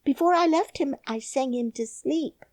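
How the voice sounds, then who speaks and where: A woman's voice: high voice, normal speed, a little mellow, dry recording, voice pushed up, close to an everyday voice. A woman voicing an earnest, outspoken young girl in a storybook reading.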